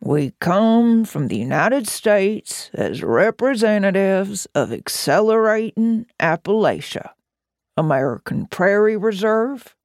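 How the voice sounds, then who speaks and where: A representative reading a long list of project names slowly and evenly, in a scripted roll call. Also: medium pitch, dry recording, clean studio sound. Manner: slow, even listing of names.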